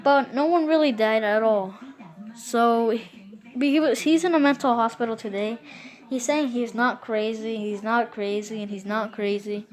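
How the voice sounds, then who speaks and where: A woman improvising a scary story in a casual, halting and repetitive way. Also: on the high side, a little mellow.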